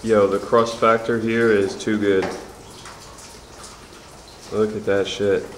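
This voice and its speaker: Lowish man muttering in echoey ruins. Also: level tone, slow, a little hiss or hum. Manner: offhand, swears often, thinks aloud. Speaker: urban explorer filming alone. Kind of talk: casual muttered commentary while exploring. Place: large abandoned prison building, indoors. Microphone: camcorder mic, speaker behind the camera. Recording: echoey concrete interior with faint ambience.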